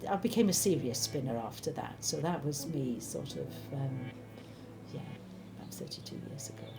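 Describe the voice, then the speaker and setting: A woman's voice: extremely low pitch, expressive, creaky. A woman, thoughtfully reminiscing in a documentary interview. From Wales. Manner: thoughtful, unhurried, warmly reminiscing. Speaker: interviewee, spinner and knitter. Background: background music.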